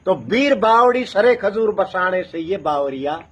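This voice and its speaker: A man's voice: sits high, expressive, unhurried, a little hiss or hum. A man with a rural Haryana-Rajasthan accent telling oral history as a traditional community storyteller, emphatic and repeating phrases in a building chant. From North India.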